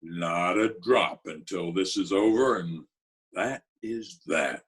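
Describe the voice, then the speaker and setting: An actor playing a gruff, commanding gangster boss, blunt and bossy, in old-time radio comedy style. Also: male voice, sits low, a bit bright. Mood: firm. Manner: blunt, bossy, deliberate slangy talk.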